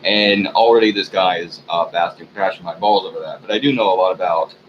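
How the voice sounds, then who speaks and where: A man talking alone at home in a meandering personal vlog, full of ums and trailing thoughts, in a sleepy, low-key way. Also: brisk. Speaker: vlogger. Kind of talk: rambling personal monologue. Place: room at home. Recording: muffled, noisy home recording.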